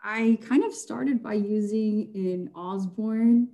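A young woman talks casually as an interview guest, often laughing lightly between thoughts. Her voice is mellow and relaxed, and she fills her sentences with "um" and "like". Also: mid-range voice, slow. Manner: chatty, laughs often, many fillers.

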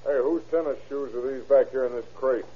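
A man's voice: mid-range voice, fairly even intonation, a little fast, husky, natural voice. An actor playing a shrewd, dryly persistent American lawman who questions suspects in an old-time radio crime drama. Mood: pointed. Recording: muffled vintage radio recording.

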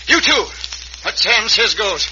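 An actor playing a gruff, bossy sheriff with commanding frontier talk in an old-time radio western. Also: male voice, high voice, very animated, slow pace, sharp tone, exaggerated voice. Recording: dull, faintly noisy vintage radio recording.

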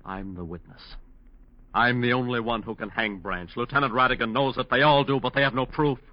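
An actor playing a desperate, cornered defense lawyer in a 1940s radio drama, agitated, evasive and afraid. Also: male voice, mid-range voice, fairly even intonation, brisk, no echo, natural voice. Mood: anguished, afraid. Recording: muffled old radio recording.

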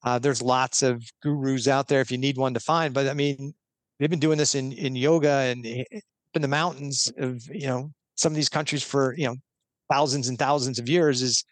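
A man's voice: medium pitch, fairly even intonation, normal speed, no room sound. A man talking as a guest expert on a podcast, explaining earnestly step by step with a few restarts.

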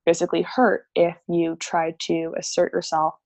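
Young woman guest rambling on a podcast, low-ish voice, dry close mic, lots of um and like. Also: monotone, normal speed, darkish tone, no echo. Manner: chatty, explains with lots of "like" and "um". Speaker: podcast guest, theta healing practitioner. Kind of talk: casual podcast interview.

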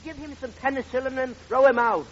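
An actor playing a haughty, posh millionaire son in old radio comedy melodrama, affected and imperious. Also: male voice, extremely high pitch, a little fast, a bit bright, slightly rough, exaggerated voice. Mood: cold outrage. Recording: dull, noisy old radio recording.

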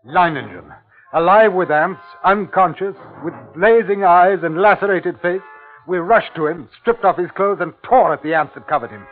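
An actor playing an awed, grave official who narrates as a witness in a 1950s radio drama, solemn and dramatic. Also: male voice, high-pitched, normal speed, natural voice. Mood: urgent awe. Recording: tinny vintage radio recording with music.

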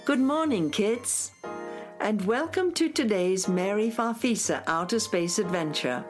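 A woman playing a humble storyteller, narrating a children's space radio play in a formal, measured, theatrical way. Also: medium pitch, lively intonation, soft background music, lightly acted. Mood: presenting.

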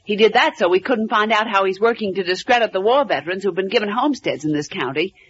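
An actress playing a brisk, outspoken American woman reporter in a 1940s radio drama, emphatic and insistent. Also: mid-range voice, fairly bright tone, slightly rough. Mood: indignant, insistent. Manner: emphatic, insistent, quick to scoff. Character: Lois Lane, brisk, outspoken, scornful woman newspaper reporter. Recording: muffled old radio broadcast recording.

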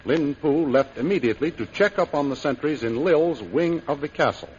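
A male announcer narrates in the scripted manner of a 1930s radio serial. His voice is fairly high, on a muffled recording with faint hiss. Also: lively intonation, moderate pace, a little mellow.

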